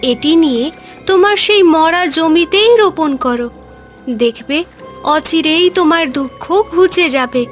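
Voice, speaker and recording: A woman voicing a gentle, solemn magical mermaid with formal storybook phrasing in a cartoon fairy tale. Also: extremely high pitch, a little slow, faint music behind. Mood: grateful, solemn.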